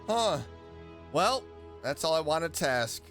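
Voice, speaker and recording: Animated male game streamer. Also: on the high side, takes it slowly, music in the background. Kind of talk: casual gameplay reaction commentary.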